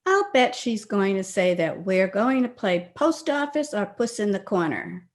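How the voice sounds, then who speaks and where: A woman's voice: medium pitch, sing-song, unhurried. An actress playing a nervous, clinging young lady in an old-time radio drama, speaking anxiously and pleadingly. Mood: dismissive.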